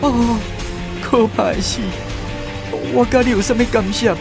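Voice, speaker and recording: A man's voice: very high voice, steady tone, unhurried, vocal fry, music in the background, full character voice. A man with a Taiwanese accent playing a fearful, lost soul in a radio drama, pleading and lamenting theatrically. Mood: terrified. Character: anguished lost man, fearful and searching for peace.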